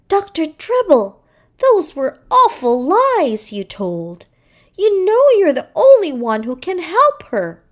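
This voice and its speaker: A woman's voice: very high voice, wildly swooping pitch, slow pace, pitched above the performer's own voice, heavily acted. A woman voicing a forthright female sea-creature dental assistant in a children's storybook, reproachful and emphatic. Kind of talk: children's storybook character reading.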